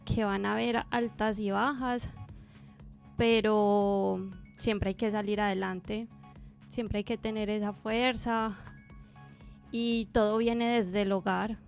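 A woman's voice: medium pitch, flat delivery, takes it slowly, vocal fry, faint music behind. A woman guest on a radio show, giving earnest advice to young people. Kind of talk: answering a question on air.

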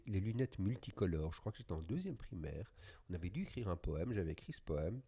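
A man with a deep, low voice speaks up in a round-table of writers. His tone is warm and dark. He talks haltingly, with frequent restarts and a dry, self-mocking humour. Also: slightly rough. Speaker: writing collective member.

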